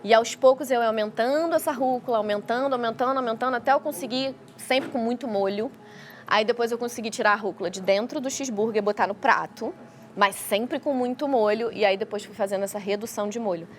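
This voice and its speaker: A woman's voice: fairly high pitch, fairly quick, slight background noise. A woman with a Rio de Janeiro accent, speaking as a guest expert and explaining things on a talk show. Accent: Carioca (Rio de Janeiro) Brazilian Portuguese. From Rio de Janeiro, Brazil. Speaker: guest child feeding specialist.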